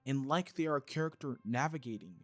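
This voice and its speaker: A man hosting and narrating a scripted review, speaking in an emphatic, expressive way. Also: medium pitch, quick pace, no room sound, faint music behind. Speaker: reviewer and host.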